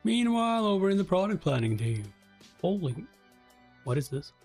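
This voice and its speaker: A man's voice: very animated, moderate pace, darkish tone, soft background music. A man reading webtoon dialogue and captions aloud, acting out the lines dramatically as he goes. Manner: acts out each line, shifting pitch between characters. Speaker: reaction channel host reading a webtoon aloud.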